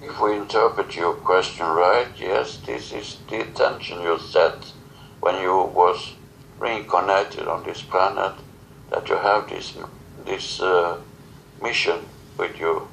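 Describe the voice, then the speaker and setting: A man who speaks English as a second language, giving solemn spiritual teaching in halting, short phrases as a channeler. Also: low voice, gravelly, a bit bright, a little hiss or hum, low-quality recording. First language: not English. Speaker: spiritual channeler answering questions.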